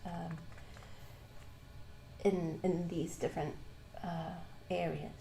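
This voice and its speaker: A woman from Malaysia, teaching a live Buddhist class and asking the group questions, pausing often with ums and restarts. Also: fairly low pitch, flat delivery, vocal fry, dark tone, a little hiss or hum. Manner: hesitant, many ums and restarts. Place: shrine room with a live class. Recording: roomy hall ambience.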